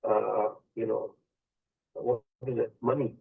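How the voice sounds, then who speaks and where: A man's voice: lowish voice, little pitch movement, takes it slowly, vocal fry, husky. A male radio astrology host taking a caller, explaining the chart and switching between English and Hindi phrases. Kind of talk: call-in radio consultation. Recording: tinny phone-line sound.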